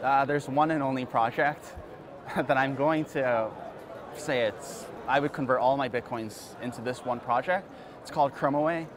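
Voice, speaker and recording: A man's voice: medium pitch, moderate pace, crowd noise. A man being interviewed as an investor, answering casually with many fillers and a boastful tone. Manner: hesitant, frequent fillers, boastful.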